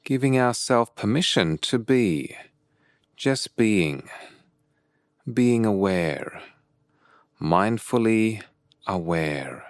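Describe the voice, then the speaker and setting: Male meditation teacher, very slow pace, calm deliberate phrasing, frequent pauses, dry close sound. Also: on the low side, clean tone, no room sound. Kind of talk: guided meditation instruction.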